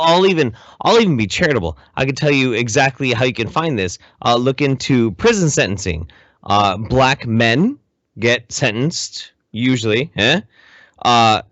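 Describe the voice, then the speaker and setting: A man's voice: mid-range voice, fairly bright tone, no echo, highs cut off. A man co-hosting a casual podcast, giving opinionated commentary in an emphatic, profane way, often repeating his phrases. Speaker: podcast co-host.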